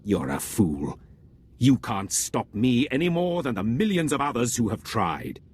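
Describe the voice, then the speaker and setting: An actor playing a proud, zealous enemy general in a video game, speaking in a measured, haughty, oratorical way. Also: male voice, medium pitch, husky. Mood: cold menace. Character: proud, zealous male Imperial general, cold villain. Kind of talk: video game villain monologue.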